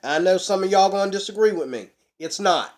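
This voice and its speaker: A middle-aged man, a bit hoarse from a cold, chatting folksily to his webcam at home about ukuleles. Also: high-pitched, fairly quick. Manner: folksy, chatty, preacher-like emphasis. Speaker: ukulele channel host. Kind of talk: casual instructional vlog. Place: small room at home, indoors.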